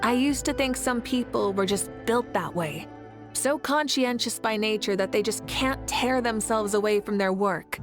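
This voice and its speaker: Video game warrior leader, played by an actress: confident, composed idealist, fairly high female voice, measured and articulate, music underneath. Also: lightly acted. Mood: calm, reflective. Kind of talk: video game character dialogue.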